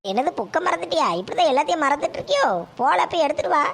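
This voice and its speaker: A sharp-tongued old grandmother from a village comedy skit. She speaks in a high, gravelly voice. She nags and scolds without pause, with a faint background hum. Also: lively intonation. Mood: scolding. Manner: nagging, scolding, sharp rebukes. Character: scolding village grandmother, older woman, quick-tempered.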